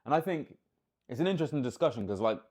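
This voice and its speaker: A man talks fast on a casual podcast, keen and quick to agree. He jumps straight into the next point as he speaks. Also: medium pitch, animated, a little mellow. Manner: quick, eager, agrees often. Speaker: podcast co-host. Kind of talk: casual chat.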